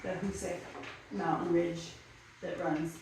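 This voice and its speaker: A soft-spoken woman at a town planning meeting, speaking hesitantly with many ums. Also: lowish voice, flat delivery, slow. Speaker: planning commission member. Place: echoey town office meeting room. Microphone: distant room mic. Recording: echoey room from far off, background noise.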